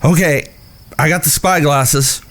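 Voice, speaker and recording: A man's voice: a little high, measured pace, fairly bright tone. A man playing a naive, eager young ranch hand in an audio drama, speaking folksy English. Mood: puzzled. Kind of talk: western audio drama acting.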